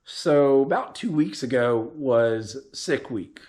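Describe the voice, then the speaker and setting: A man, hoarse and losing his voice, giving a casual, rambling vlog update as a drag racer. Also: medium pitch, animated, slow pace, a little mellow. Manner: rambling, with frequent ums and restarts.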